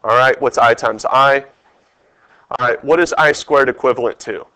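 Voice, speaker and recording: A man's voice: mid-range voice, moderate pace, bright, forceful tone, no room sound, low-quality recording. A man with a stuffed-up, congested voice, walking a class through test questions step by step and quizzing them as he goes. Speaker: math teacher. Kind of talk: test review lesson over screen capture.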